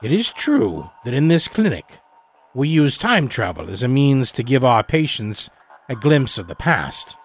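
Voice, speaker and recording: An elderly doctor of a secretive clinic, acted out by a male storyteller, speaks with measured formality and grave weight. His delivery moves widely in pitch and has a husky edge, suited to a sci-fi horror tale. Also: exaggerated voice. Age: older. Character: elderly evasive doctor running a secret time-travel clinic. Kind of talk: dramatic audio horror storytelling.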